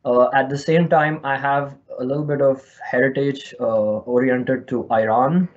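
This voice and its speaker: A man's voice: mid-range voice, steady tone, a little slow, highs cut off. A man from India with a slight Indian accent, presenting a virtual conference session in a friendly, explanatory way over an online call. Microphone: remote call mic.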